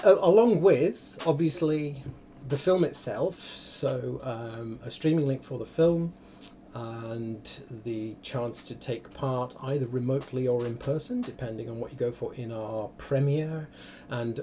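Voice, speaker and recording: A man hosting an online live event in a casual, unscripted way, often halting and interrupting himself. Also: mid-range voice, mellow, a little hiss or hum. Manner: rambling, halting, self-interrupting. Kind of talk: casual, unscripted livestream talk. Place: indoor room, online video call.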